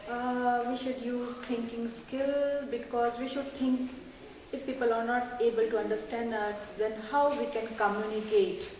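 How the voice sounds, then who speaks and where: A woman with a somewhat high voice answers interview questions politely and carefully, choosing simple phrasing. The sound is strongly reverberant, as if she were in a large hall, with faint ambience behind her. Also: little pitch movement, measured pace, a little mellow, a little hiss or hum. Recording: echoey hall.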